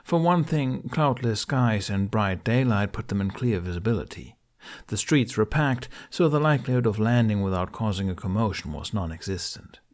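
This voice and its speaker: A man reading fiction as an audiobook narrator, with expressive, dramatic storytelling. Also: lowish voice, moderate pace.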